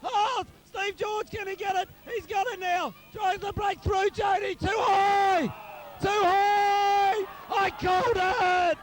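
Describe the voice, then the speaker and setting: Man, Australian accent, very high-pitched, frantic shouting, amateur football commentator, partisan, repetitive calls. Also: slow pace, crowd noise. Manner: shouty, wildly excited, repeats names and calls. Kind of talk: excited partisan live sports commentary.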